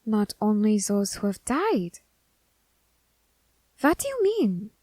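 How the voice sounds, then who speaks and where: A woman voicing a curious, androgynous grim reaper in an ASMR roleplay who questions a captive demon in a slow, teasing, softly whispered way. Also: medium pitch, very animated, dry recording.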